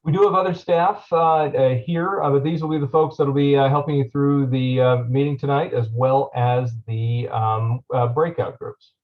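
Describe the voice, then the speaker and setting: A man's voice: mid-range voice, steady tone, normal speed, few pauses, narrow, tinny audio. A man who is a deputy county administrator, giving a loose presentation at a public meeting with many ums and uhs. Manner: frequent fillers, loose and conversational.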